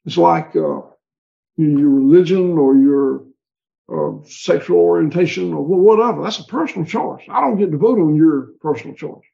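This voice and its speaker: A man's voice: on the high side, sing-song, moderate pace, vocal fry, dark tone, lo-fi sound. A man with a Southern US accent, a livestock farmer talking in an interview, rambling and folksy with frequent restarts. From American South. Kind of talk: conversational interview.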